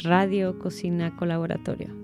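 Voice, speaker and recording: Low-voiced woman presenting over guitar music. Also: flat delivery, moderate pace. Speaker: podcast co-host. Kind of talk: podcast presentation, partly scripted.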